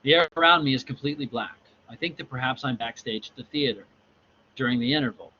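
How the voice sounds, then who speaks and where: A man's voice: medium pitch, quick pace, sharp tone, lightly acted. Dreamy, sorrowful young woman narrator, performed by a man, dramatic storybook delivery, slightly dull audio with faint noise. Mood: dreamy, wistful. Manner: dramatic reading aloud.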